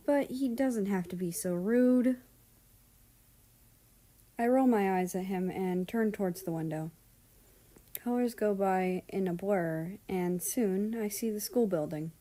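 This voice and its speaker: A woman's voice: mid-range voice, dark tone. A woman reading fan fiction aloud as a first-person narrator.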